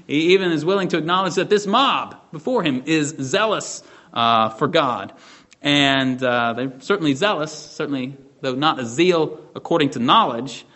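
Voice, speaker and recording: A man leads a Bible study lesson, explaining a passage in a relaxed, conversational way. His voice sits a little on the high side, and he restarts phrases and checks in with his listeners as he talks. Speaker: Bible teacher.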